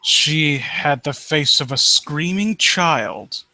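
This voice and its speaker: A man casually riffing as a host who reads and mocks stories, sarcastic and quick to swear. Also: fairly high pitch, expressive, pushed and bright, dry recording. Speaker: host reading and critiquing horror stories live. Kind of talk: casual riffing commentary with read-aloud passages.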